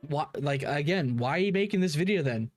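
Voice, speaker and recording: Animated, blunt man reacting. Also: a little high, a little fast, faint music behind. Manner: blunt, mocking, profane. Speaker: reaction video host. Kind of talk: casual reaction commentary.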